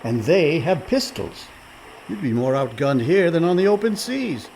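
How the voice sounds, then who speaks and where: A man's voice: a little high, very animated, measured pace, darkish tone, low-quality recording. An actor playing a scheming, level-headed young seafaring con man in a radio play, sly and coaxing. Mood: cautioning, scheming. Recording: faint background noise.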